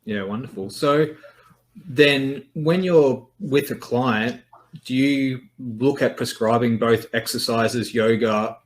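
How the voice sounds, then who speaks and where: A man's voice: medium pitch, slow pace, rough recording. A man, hosting a podcast interview in an upbeat, encouraging way over a headset mic on a video call. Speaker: podcast host.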